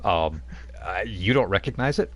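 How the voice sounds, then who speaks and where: A man running a tabletop game as game master, explaining rules and lore in a relaxed, rambling way. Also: deep voice, normal speed. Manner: explanatory, with frequent fillers and restarts.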